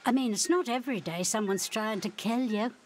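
An actress playing a caring, chatty hospital nurse in a radio drama, soothing and confiding. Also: female voice, fairly high pitch, brisk, natural voice. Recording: faint background ambience noise.

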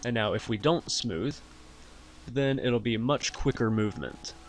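Man narrating a step-by-step software tutorial. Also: mid-range voice, a little slow. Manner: instructional, step by step. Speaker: tutorial host. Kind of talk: software tutorial narration over screen recording.

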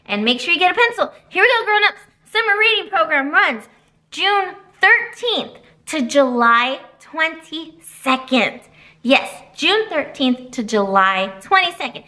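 Woman, children's librarian, very high voice, bright and lively, storytime to kids, webcam in a library room. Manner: animated, sing-song teacher voice. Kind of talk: storytime reading and announcements for kids.

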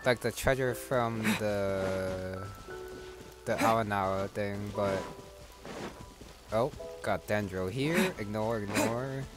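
A man doing casual gameplay commentary, thinking aloud in a low-key way. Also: slow. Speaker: gamer. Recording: loud game music behind the voice.

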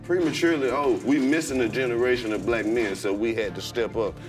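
A man's voice: medium pitch, brisk. A middle-aged man hosting a comedy podcast in playful, teasing casual banter, with music behind him. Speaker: podcast host. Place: indoor podcast studio set.

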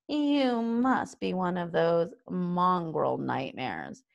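Storybook dragon, snobbish and purring, low and unhurried, done by a woman. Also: very animated, creaky, highs cut off, full character voice. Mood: snooty. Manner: sweet, snobbish purr, slow and low. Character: haughty purring aristocratic dragon.

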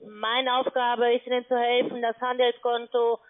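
A woman phone scammer posing as an advisor, speaking halting German and repeating the customer's name. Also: a little high, monotone. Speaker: scam call-centre advisor. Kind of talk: phone sales pitch. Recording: tinny phone line.

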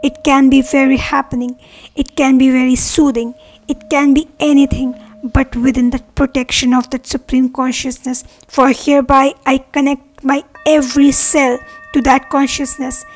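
A woman leading a guided meditation with affirmations, speaking earnestly in measured, separate phrases. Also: high-pitched, little pitch movement, poor audio. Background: meditation music.

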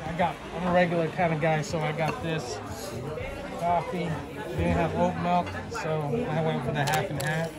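A man's voice: fairly high pitch, little pitch movement, moderate pace. A middle-aged man chatting casually for a family vlog, narrating small actions in a laid-back, folksy way. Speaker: vlogger dad filming his family. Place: busy indoor chain diner. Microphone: handheld camera, operator behind it. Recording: loud music and restaurant crowd din.

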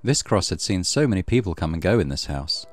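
A man reading a visual novel aloud as a let's play host, with expressive storytelling and casual commentary. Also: low-pitched, fairly quick. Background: soft game music.

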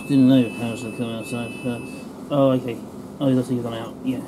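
A man filming his train ride, muttering quiet asides to himself from his seat. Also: mid-range voice, steady tone, unhurried, noisy background. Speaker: rail enthusiast filming his journey. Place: seated inside a moving train carriage. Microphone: handheld phone camera.

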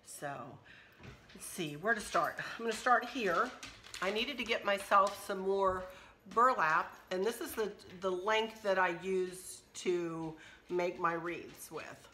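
A middle-aged woman, chatting casually through a craft shopping haul at home, her voice a little hoarse. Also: medium pitch, takes it slowly, sharp tone, slight background noise. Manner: chatty, friendly, rambling. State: slightly hoarse, losing her voice. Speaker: craft vlogger. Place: kitchen at home, indoors.

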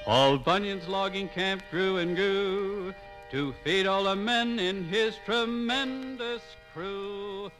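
A man's voice: high voice, slow, fairly bright tone, soft background music. An American man reciting a rhymed tall tale in a theatrical, rhythmic way for 1950s radio. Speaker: radio narrator.

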